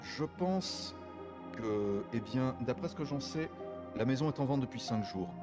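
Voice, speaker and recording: A courteous private detective, played by a man, with a mid-range voice and formal phrasing. He lays things out in a measured, halting way in a game roleplay, over background music. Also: mid-range voice, animated, natural voice. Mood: grave, measured. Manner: formal, deliberate, halting explanations. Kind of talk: improvised French online roleplay.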